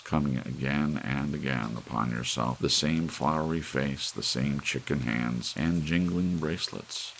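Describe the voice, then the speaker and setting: A man's voice: extremely low pitch, vocal fry, low-quality recording. A man reading a story aloud as an audiobook narrator, in an ASMR bedtime style. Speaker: audiobook reader. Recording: faint hiss, soft rain sound. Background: soft rain.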